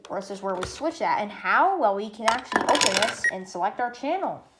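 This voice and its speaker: A woman giving a casual, unscripted gadget demonstration in a rambling, halting way, often correcting herself. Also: mid-range voice, animated. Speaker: product reviewer. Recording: echoey room, loud background noise.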